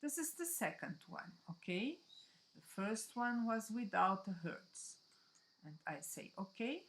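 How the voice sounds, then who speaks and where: A woman from Brazil with a Brazilian Portuguese accent, whose first language is Portuguese, walking viewers through a software tutorial in halting English and often checking in with "okay?". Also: mid-range voice, very animated. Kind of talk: screen-recorded software tutorial.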